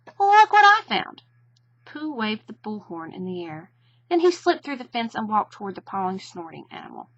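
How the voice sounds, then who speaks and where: Animated, husky woman reading a storybook. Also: mid-range voice, brisk, lo-fi sound. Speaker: children's story reader. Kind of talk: expressive storybook narration.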